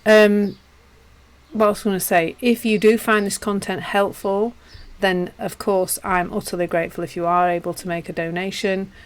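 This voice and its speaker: A woman with a Yorkshire accent teaching and chatting casually with viewers on a live stream, self-deprecating and warm in her asides. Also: mid-range voice, little pitch movement, normal speed, clear, resonant tone. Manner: chatty and self-deprecating, with frequent restarts and laughs. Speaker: meditation teacher.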